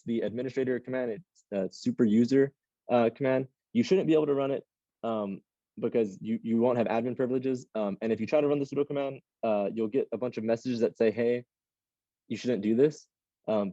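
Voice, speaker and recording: A man's voice: medium pitch, dark tone, dry recording, highs cut off. Rambling man teaching a computing class. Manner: casual, rambling, lots of ums and restarts. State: slightly hoarse. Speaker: cluster computing instructor. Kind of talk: live online class lecture. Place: classroom with a Zoom audience.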